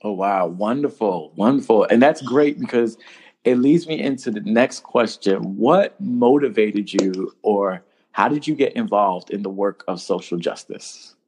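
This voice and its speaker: Low-pitched man hosting a podcast. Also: unhurried, darkish tone, breathy edge. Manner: affirming, appreciative. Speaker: podcast host. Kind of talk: conversational podcast interviewing.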